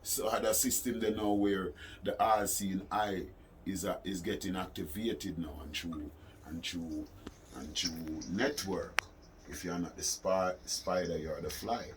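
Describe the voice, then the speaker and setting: A man's voice: deep voice, steady tone, measured pace. A man with a Caribbean accent giving an impromptu interview, explaining his views in an insistent, preaching way and repeating phrases for emphasis. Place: studio, during a phone call. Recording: noisy ambient room recording.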